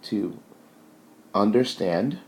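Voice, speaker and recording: A man lecturing informally in a halting, think-aloud way, often checking in with short tags as he explains. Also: fairly low pitch, lively intonation.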